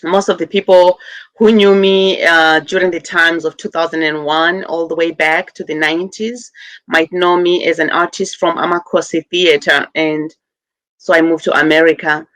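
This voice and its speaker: A middle-aged woman from Zimbabwe, a guest on a talk show, speaking earnestly with many pauses and fillers as she appeals for help. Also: lowish voice, flat delivery, a bit bright, dry recording. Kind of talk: call-in interview.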